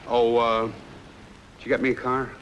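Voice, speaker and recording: An actor playing a shrewd, genial, portly private detective with an American accent, in 1950s film noir style. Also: male voice, medium pitch, level tone, normal speed, a bit bright, muffled recording, natural voice. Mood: businesslike. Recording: noisy old film soundtrack.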